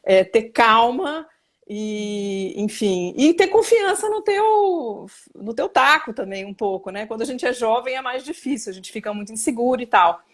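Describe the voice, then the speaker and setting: Brazilian woman interpreter explaining, higher voice. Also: lively intonation, moderate pace. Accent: Brazilian Portuguese. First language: Portuguese. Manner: reflective, explains with examples and restarts. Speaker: simultaneous interpreter and journalist, guest. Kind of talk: casual live interview.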